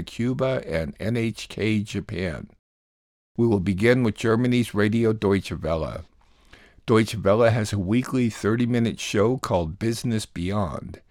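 Low-voiced man hosting radio news, very dry. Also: darkish tone, dry recording. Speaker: radio program host. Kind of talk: scripted news introduction.

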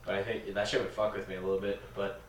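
A young man hosting a casual podcast chat with friends, relaxed and full of "like" and "dude". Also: fairly low pitch, fast. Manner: laid-back, filler-heavy, says "dude" and "like" often. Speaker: podcast host. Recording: echoey room, mic at a distance, faint noise.

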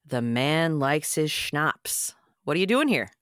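Woman reading a wisecracking, animated young man. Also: low-pitched, slow, smooth, no room sound, clean studio sound, full character voice. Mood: exasperated. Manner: sarcastic, self-deprecating. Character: wisecracking awkward young man, loyal to friends. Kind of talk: comedic script table read.

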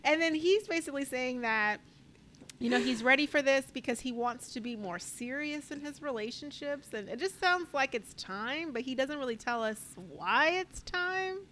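Slangy, higher-voiced woman giving candid opinions. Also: animated, moderate pace, fairly bright tone, slight background noise. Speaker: co-host of a reality TV recap show. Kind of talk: casual chat.

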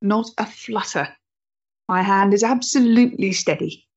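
An actress playing a proud, emotionally fragile 1890s woman in love, with a measured, theatrical way of talking in a period stage drama. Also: mid-range voice, muffled recording. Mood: tender, unsteady.

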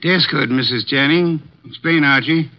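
A man's voice: fairly high pitch, moderate pace, close to an everyday voice. An actor playing a pompous, commanding male detective in a 1950s radio drama, speaking in formal, pedantic sentences, on a muffled old broadcast recording. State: short of breath.

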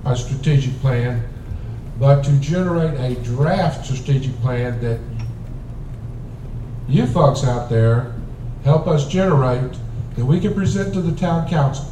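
Mellow-voiced man running a town meeting. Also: mid-range voice, a little slow, long pauses, a little hiss or hum. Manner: steady, folksy, a little hesitant. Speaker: meeting facilitator. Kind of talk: leading a public planning meeting. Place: large community hall. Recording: echoey hall recorded from far off.

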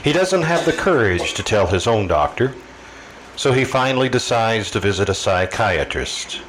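Low-voiced radio mystery narrator, a man acting. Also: very animated, normal speed, fairly bright tone, a little hiss or hum, poor audio. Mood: grave, suspenseful. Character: ominous male storyteller of a radio mystery. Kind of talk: scripted old-time radio narration. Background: faint music.

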